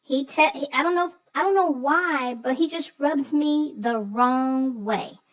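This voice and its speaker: A woman with a distinctly high voice, co-hosting a relaxed discussion of a children's story. She is agreeable in conversation and leans on her words for emphasis. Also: a little slow. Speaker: co-host. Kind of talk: casual chat about a children's story.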